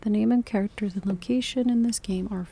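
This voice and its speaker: A woman's voice: mid-range voice, steady tone, gravelly, mellow, a little hiss or hum. A woman hosting a casual let's-play, reading game text aloud and stumbling a little as she goes. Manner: halting, stumbling when reading. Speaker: gaming video host.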